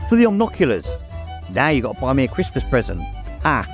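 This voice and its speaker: A man voicing an excitable, loud teenage boy wizard who yells gleefully in a storybook reading. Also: medium pitch, brisk, faint music behind. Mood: thrilled. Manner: yelling, gleeful.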